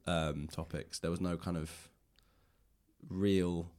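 A man with a low-pitched voice hosts a casual interview. He talks reflectively and at length, in a loose conversational style. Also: fairly even intonation, slow, a little mellow, clear, resonant tone. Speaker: podcast host, interviewer.

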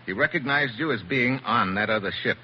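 An actor playing a tough, wry American special agent in a terse 1940s radio drama style. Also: male voice, medium pitch, lively intonation, fairly quick, close to an everyday voice. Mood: cool accusing. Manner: hard-boiled, terse, wry. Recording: muffled, hissy 1940s radio recording.

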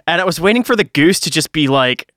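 A woman's voice: sits low, expressive, fast talker, fairly bright tone, no echo, clean studio sound. A woman co-hosting a podcast, reacting in amused, slangy casual chat. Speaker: podcast co-host.

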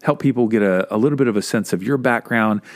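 A man's voice: medium pitch, quick pace. A man producing and hosting a podcast interview, asking questions in an upbeat, encouraging way.

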